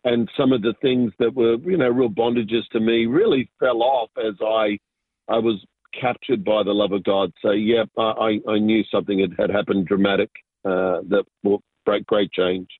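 A man in his forties recounts his life in a halting, reflective way, with many pauses and fillers. The recording is dry and close, with the narrow, thin sound of a phone line. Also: on the low side, fairly even intonation, no echo. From Argentina, raised in Sydney. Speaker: guest, business pastor. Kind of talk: casual interview storytelling.

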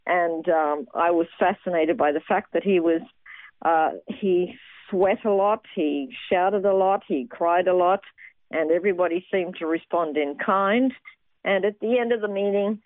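A middle-aged woman from Australia, a guest telling her faith story earnestly with many fillers and pauses. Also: on the low side, dry recording. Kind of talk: personal testimony interview. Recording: tinny phone-like sound, dry.

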